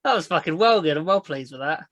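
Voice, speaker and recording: A woman's voice: low voice, lively intonation, quick pace, no room sound, highs cut off. A young woman, hosting a crude casual chat podcast, blunt and giggly as she rambles. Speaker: podcast host.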